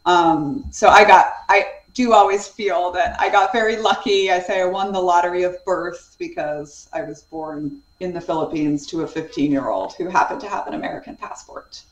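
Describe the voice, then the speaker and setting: A woman's voice: fairly low pitch, normal speed, hardly any pauses. Earnest woman author on a roomy video call. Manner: earnest, reflective, gestures while talking. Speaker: author and guest. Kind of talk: conversational interview. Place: home study with bookshelves.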